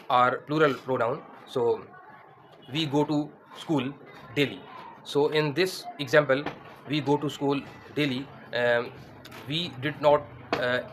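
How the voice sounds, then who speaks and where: A man's voice: mid-range voice, a bit bright, slight background noise, poor audio. A man, teaching English grammar in a hesitant way, with many fillers and restarts. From Pakistan. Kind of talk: spoken grammar lesson. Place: indoors against a plain wall.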